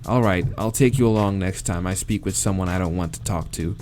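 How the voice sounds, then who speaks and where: A man's voice: deep voice, brisk, a little mellow. A man reading a visual novel aloud, breaking off to swear and laugh, with game music behind. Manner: swears and laughs between read lines. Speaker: let's play reader.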